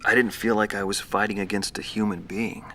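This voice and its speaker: A weary old ex-pilot, deep voice. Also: male voice, level tone, brisk, a little hiss or hum. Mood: somber. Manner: quiet, somber, reflective. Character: weary older male ex-pilot telling his war memories. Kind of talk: interview-style recollection in a game cutscene.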